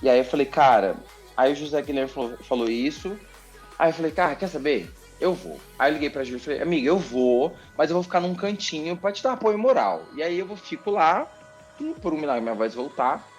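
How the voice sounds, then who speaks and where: Animated Brazilian man telling a story. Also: a little high, brisk, faint music behind. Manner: animated, expressive, chatty, repeats words for emphasis. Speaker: TV journalist and presenter, interview guest. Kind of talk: casual podcast interview storytelling.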